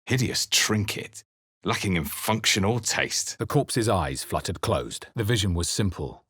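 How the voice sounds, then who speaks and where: A man playing a grave, introspective adventurer hero in a fantasy video game, speaking in a measured, dramatic way. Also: on the low side, animated, fairly bright tone, slightly rough, no room sound, studio quality, heavily acted. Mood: dry, unimpressed. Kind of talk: scripted video game narration and character lines.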